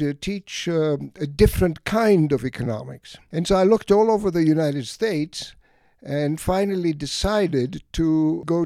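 An elderly man from Egypt and Greece, an academic guest reflecting on his life in a halting, deliberate way with many pauses. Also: a little high, warm tone, no room sound, studio quality. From Egypt and Greece, of Greek parents. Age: late 70s or older. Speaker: interview guest, economics academic. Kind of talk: reflective autobiographical interview talk.

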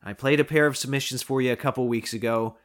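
A man's voice: medium pitch, fairly even intonation, fast. A man hosting his own podcast in casual solo talk, chatty and enthusiastic, getting sick and losing his voice. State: coming down with a cold. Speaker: podcast host.

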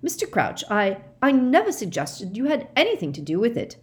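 A woman's voice: a little high, huge pitch swings, normal speed, pitched above the performer's own voice. A woman voicing a blustering middle-aged male wizard official who barks and growls his accusations. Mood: flustered. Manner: barking, growling, loud accusations.

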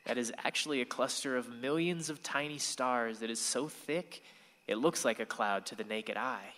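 A man's voice: medium pitch, moderate pace, a bit bright, lightly acted. Earnest teenage boy acted by a man in reverb. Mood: tender, dreamy. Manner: earnest, tender. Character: earnest, lovestruck, gentle sixteen-year-old boy. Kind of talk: radio play acting. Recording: long reverberant hall sound.

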